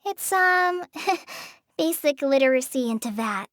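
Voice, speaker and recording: A timid young forest ranger girl from a video game, with a very high, lively voice. She hesitates, sighs and apologizes as she talks. The studio recording is dry and close. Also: takes it slowly, no room sound, full character voice. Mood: gentle. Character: shy young female forest ranger apprentice. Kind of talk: game character voice lines. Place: studio booth.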